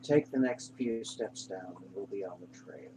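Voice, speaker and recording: A man narrating a guided meditation in an unhurried, reassuring manner, pausing between short phrases. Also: fairly low pitch. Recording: clear background hum and noise.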